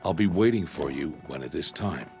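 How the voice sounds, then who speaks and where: An actor playing a gruff, solemn middle-aged outcast hunter and caring mentor in a video game, speaking gravely and with restraint. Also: male voice, animated, moderate pace, exaggerated voice. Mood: calm, solemn. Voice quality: deep and gravelly. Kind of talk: dramatic video game cutscene acting. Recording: loud music behind the voice. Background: loud music.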